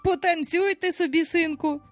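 A woman's voice: fairly high pitch, very animated, unhurried, soft background music. A woman voicing a cocky storybook boy who plays father, lordly and mock-grumpy, with playful pomposity.

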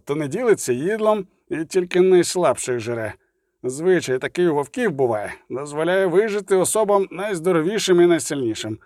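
A man voicing a gruff, mocking dwarf brawler in a fantasy audiobook, blunt, sardonic and threatening. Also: sits high, no room sound, studio quality, voice pushed up.

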